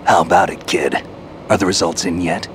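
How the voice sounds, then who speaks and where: A seasoned, dry-witted soldier voiced by a man speaks in a low, gruff voice with a laconic delivery. The audio is noisy, with clear background sound under the game cutscene. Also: fairly even intonation, moderate pace, lightly acted. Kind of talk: video game cutscene dialogue.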